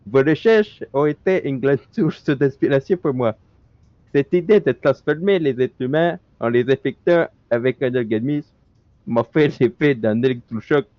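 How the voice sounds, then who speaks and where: A man's voice: mid-range voice, moderate pace, dry recording, muffled recording. A male streamer reading in-game documents aloud during a co-op session, stumbling over words and breaking off into joking asides.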